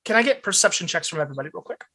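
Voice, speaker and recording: A woman's voice: on the low side, lively intonation, rapid-fire, fairly bright tone. A woman running a tabletop role-playing game, describing scenes casually and vividly as game master.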